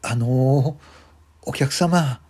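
A man's voice: medium pitch, extremely slow, a little mellow. A man voicing a timid, polite hotel parking attendant who speaks hesitantly and apologetically.

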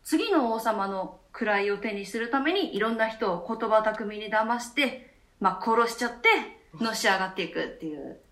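A woman's voice: a little high, expressive, moderate pace, fairly bright tone. A woman, a theatre troupe member, chatting casually and explaining things with enthusiasm as a radio co-host. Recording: echoey room.

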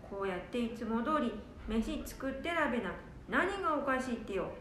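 Woman as a high-voiced blunt old granny, radio play, echoey, off-mic. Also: animated, fairly bright tone, slight background noise. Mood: brisk. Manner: brisk, blunt dialect talk. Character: bossy old grandmother. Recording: echoey room from a distance.

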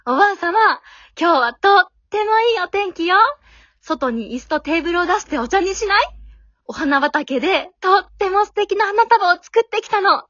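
Radio drama heroine, female amateur: haughty, pushy young girl, high-pitched and very animated, dry slightly dull recording. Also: normal speed, dry recording. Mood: sweet, pushy. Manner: haughty, coy, pushy ladylike speech.

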